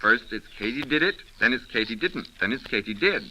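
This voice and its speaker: A man's voice: mid-range voice, animated, brisk, bright, forceful tone, rough recording. An actor playing a cheerful know-it-all man on a picnic in a 1930s radio sketch, chatty and explanatory. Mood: enthusiastic. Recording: old radio recording, faint noise.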